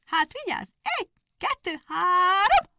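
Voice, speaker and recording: A woman voicing a boastful male hare who mocks his rival in a high, scornful tone. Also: very animated, fairly bright tone, full character voice. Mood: cocky. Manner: high mocking laughing tone.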